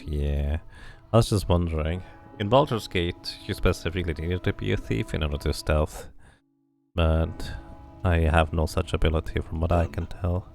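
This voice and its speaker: Male game streamer, low and gravelly, musing through a playthrough and reading text aloud over faint music. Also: darkish tone. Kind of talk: casual game commentary mixed with read-aloud item text.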